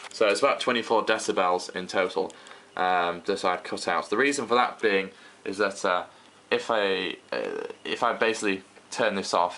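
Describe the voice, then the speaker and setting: Young male, low pitch with a bright edge, halting informal tutorial delivery, home camera mic. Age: young adult. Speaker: host, hobbyist record restorer. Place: small room at home, indoors. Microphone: camera microphone. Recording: faint background noise, home recording.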